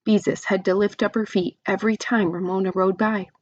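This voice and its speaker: A woman's voice: medium pitch, fairly even intonation, a little fast, a little mellow, lo-fi sound. A woman reading a children's story aloud expressively, with chatty asides to young listeners.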